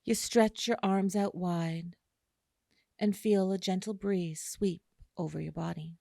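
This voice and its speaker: A woman guiding a listener through an imagery meditation in a slow, soothing way, with long pauses. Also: lowish voice, fairly even intonation, creaky, mellow, dry recording, clean studio sound. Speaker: meditation guide. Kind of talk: guided imagery meditation narration.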